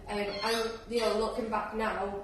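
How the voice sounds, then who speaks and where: A woman's voice: medium pitch, little pitch movement, measured pace. A woman guest who works in animal education, chatting enthusiastically and giggling often. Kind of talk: casual interview chat. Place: community lounge at a railway station. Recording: very echoey room, distant mic, faint noise.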